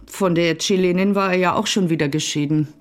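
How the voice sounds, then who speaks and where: A woman's voice: lowish voice, brisk, close to an everyday voice. An actress playing a dry, disillusioned divorced woman in a radio play, speaking with a sardonic edge. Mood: dry, detached. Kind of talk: radio drama acting.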